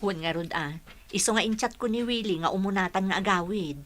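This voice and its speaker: A woman's voice: medium pitch, brisk, a bit bright, natural voice. A woman playing an affectionate, slightly anxious young bride-to-be in a radio-style drama. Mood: easygoing.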